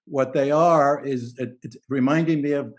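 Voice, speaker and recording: A man's voice: mid-range voice, steady tone, normal speed. Rambling, enthusiastic man on a call. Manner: enthusiastic, rambling, many restarts. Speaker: symposium participant. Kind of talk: spoken comment in online discussion. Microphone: video call.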